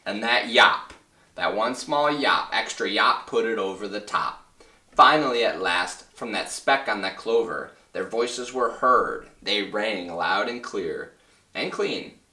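A man in his twenties reading a children's story aloud as a teacher, expressive and clearly phrased for young children. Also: fairly low pitch, takes it slowly. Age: 20s. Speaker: first grade teacher. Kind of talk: children's read-aloud narration. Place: small indoor room with bare wall and whiteboard. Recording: roomy, slightly distant mic.

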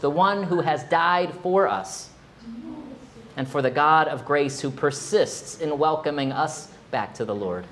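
A man in his forties, a pastor, preaching a sermon in a small church, expressive and at times emphatic. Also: a little hiss or hum. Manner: expressive, rising to emphatic high exclamations. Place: small church sanctuary, indoors.

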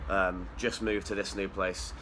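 A man's voice: sits low, flat delivery, unhurried, sharp tone, a little hiss or hum. A young man talking casually to his phone held at arm's length, with chatty, rambling asides. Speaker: vlogger. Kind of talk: casual vlog to camera. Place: outdoors at a football ground and at home.

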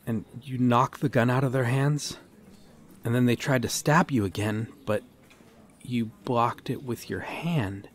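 A man playing an earnest, guarded police detective in an ASMR audio drama, speaking haltingly with long pauses. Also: mid-range voice, slight background noise, lightly acted. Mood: grave, methodical. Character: earnest, guarded male police detective questioning someone he cares for. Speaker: voice actor in an audio roleplay. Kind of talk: ASMR roleplay drama, acted one-sided dialogue.

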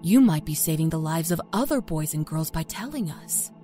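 Woman doing a low, solemn small-town sheriff. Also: lively intonation, moderate pace. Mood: grave, reassuring. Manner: grave, hushed, reassuring. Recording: music under the voice.

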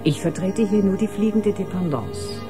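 An actress playing a professional, slightly condescending ship doctor, clinical and instructive in manner. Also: female voice, mid-range voice, moderate pace, close to an everyday voice. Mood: defensive, lecturing. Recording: music playing behind the voice.

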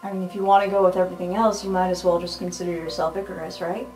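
A woman's voice: lowish voice, level tone, rapid-fire, darkish tone, soft background music, rough recording. A woman chatting casually as a player in an improvised roleplay game, thinking aloud with some hesitation.

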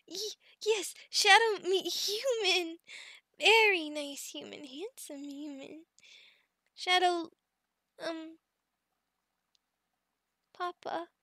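A woman playing a sweet, shy young adult female goblin in an ASMR roleplay, speaking in halting broken phrases and calling herself by name. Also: very high voice, pushed and bright, dry recording, clean studio sound, full character voice. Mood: bashful, tender. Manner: halting broken speech, refers to herself in third person, giggly.

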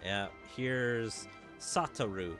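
A man's voice: mid-range voice, expressive, extremely slow. A man giving casual playthrough commentary as a gaming streamer. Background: game music.

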